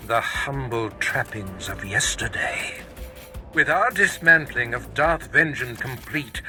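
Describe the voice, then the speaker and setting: Grandiose Sith master, piercingly bright and slow. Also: male voice, mid-range voice, exaggerated voice. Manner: pompous, commanding, deliberate. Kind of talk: dramatic video game acting.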